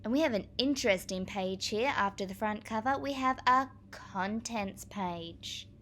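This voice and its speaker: A woman teacher reading aloud to young children, explaining as she goes in a clear, expressive manner. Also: mid-range voice, unhurried, a little hiss or hum.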